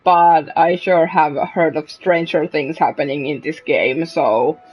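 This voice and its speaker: Female game streamer: lowish, unhurried, casual reactions, slightly dull mic over music. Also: steady tone.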